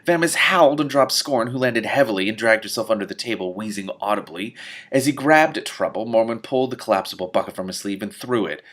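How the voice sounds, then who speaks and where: A man reading a novel aloud in an expressive way, breaking into exclamatory, spontaneous reactions of his own. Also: lowish voice, quick pace. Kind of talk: animated audiobook-style reading with spontaneous commentary.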